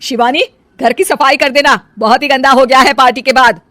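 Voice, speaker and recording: Woman doing a loud, bossy mother-in-law. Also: high-pitched, steady tone, moderate pace, dry recording, voice pushed up. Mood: loud, scolding. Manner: loud, scolding, demanding. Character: bossy, questioning middle-aged mother-in-law. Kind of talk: Hindi cartoon moral story.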